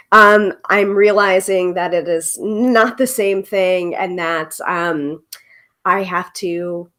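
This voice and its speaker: A woman's voice: medium pitch, fairly bright tone. A woman in her forties with a froggy, congested voice, chatting casually as the host of a live tarot stream, with lots of ums and laughter. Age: 40s. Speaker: tarot reader hosting a live show.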